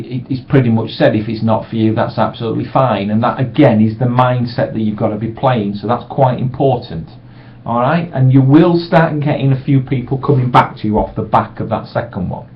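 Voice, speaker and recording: A middle-aged man, giving a casual, reassuring sales training talk from a small room. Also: mid-range voice. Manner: relaxed, reassuring, acts out sample voicemails brightly. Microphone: webcam microphone. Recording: dull lo-fi webcam audio.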